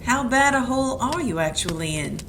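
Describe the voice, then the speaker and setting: A woman's voice: a little high, expressive, normal speed. A woman playing a tough, wisecracking female private detective, talking in a dry, sardonic, clipped way, in an amateur audio drama. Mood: cool. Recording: clear background noise.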